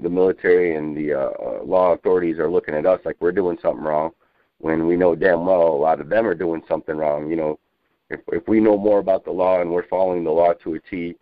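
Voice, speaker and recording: A man talking informally about politics as an activist on a phone conference call, rambling and insistent, with halting, repetitive phrasing. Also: sits low, dry recording. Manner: halting, repetitive, insistent, peppered with you know. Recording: muffled narrowband phone conference line.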